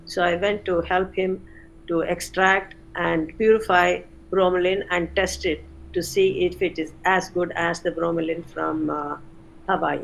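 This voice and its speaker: An older woman, a retired chemistry professor recalling her career in an interview, unhurried with frequent pauses. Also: lowish voice, steady tone, gravelly, rough recording. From India. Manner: unhurried, many "uh" pauses, self-corrects. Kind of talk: reflective interview answers.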